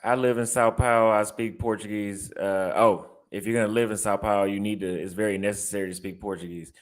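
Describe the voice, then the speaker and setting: Laid-back Texan man, low voice. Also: steady tone, fairly quick. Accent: Texas. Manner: loose, rambling, reacting aloud with repeated phrases. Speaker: vlogger and barber. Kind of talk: casual vlog, browsing apartment listings.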